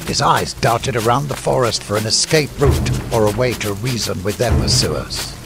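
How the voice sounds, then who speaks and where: A man's voice: on the low side, normal speed, creaky. A man narrating a fantasy audiobook in a scripted, dramatic storytelling style. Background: music.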